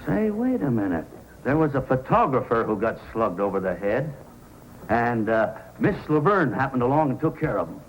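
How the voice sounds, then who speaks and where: An actor playing a talkative, earnest frontier warehouse boss in old-time radio style. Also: male voice, medium pitch, big pitch swings, normal speed. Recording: noisy old radio recording.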